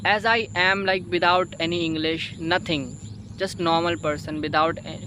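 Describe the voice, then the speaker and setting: Indian guy w hindi as first language, kinda high voice, halting english tips, repeats himself, noisy outside. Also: fairly even intonation. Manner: halting, repetitive, emphatic, frequent "you know" and "okay" tags. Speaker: English-learning video host, learner. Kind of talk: informal spoken advice to viewers.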